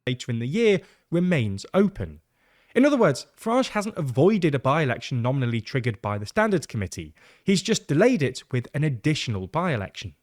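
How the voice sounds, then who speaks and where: A man's voice: medium pitch, big pitch swings, a little fast. A man reading scripted narration for a news explainer.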